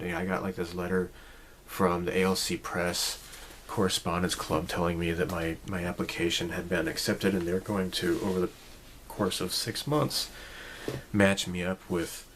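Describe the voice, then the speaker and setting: A man's voice: deep voice, a bit bright, a little hiss or hum. A man in his forties talking casually to camera, rambling and reflective, with many fillers and restarts. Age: 40s. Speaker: channel host. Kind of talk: casual personal vlog talk.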